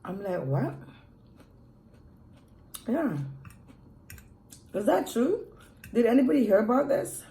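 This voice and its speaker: A woman's voice: a little high, sing-song, mellow, echoey room, a little hiss or hum. A woman with a mixed Jamaican and Quebec French accent chatting casually while eating on camera. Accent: Jamaican and Quebec French mix. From Jamaica, raised in Montreal. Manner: slow, chatty, pauses to eat. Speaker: mukbang vlogger. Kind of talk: casual eating vlog chat.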